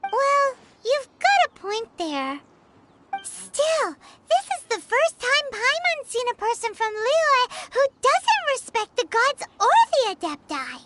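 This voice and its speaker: A woman voicing a small, excitable, naive fairy girl with a squeaky childlike voice in a video game. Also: slow pace, very noisy, full character voice. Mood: earnest. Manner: refers to self in third person, exclaiming.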